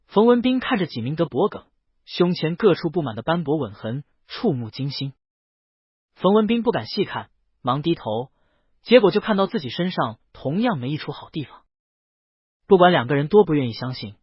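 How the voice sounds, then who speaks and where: A man narrates a story in a fairly high, husky voice. His delivery rises and falls with lively intonation as he reads from a script. The recording is dry and somewhat muffled. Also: dry recording. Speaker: audiobook narrator.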